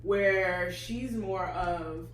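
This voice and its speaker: A woman co-hosting a casual relationship chat, playful and quick to laugh and tease. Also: fairly low pitch, roomy sound, a little hiss or hum. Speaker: co-host.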